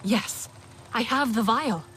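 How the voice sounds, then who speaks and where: An actress playing an earnest, steady young woman warrior in a video game. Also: fairly high pitch, slow, a little hiss or hum. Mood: urgent.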